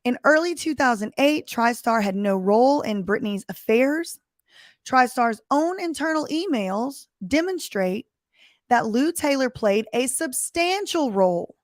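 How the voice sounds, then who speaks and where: Hoarse woman lawyer reading court filings. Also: a little high, dry recording, clean studio sound. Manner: emphatic, explains in plain terms. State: recovering from lost voice. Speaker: lawyer commentator. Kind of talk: reading and commenting on court documents.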